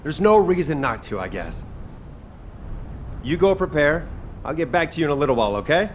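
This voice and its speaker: An actor playing a laid-back, wry hero chef in a video game, friendly, casual and a little bossy. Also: male voice, on the high side, sing-song, brisk, slight background noise.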